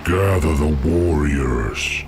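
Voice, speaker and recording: A man playing a sinister, scheming orc warlock master in a fantasy game, deep and gravelly, speaking with menacing, mocking condescension. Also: slow pace, exaggerated voice. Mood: calm scheming. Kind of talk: dramatic fantasy game cutscene acting. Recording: music behind the voice.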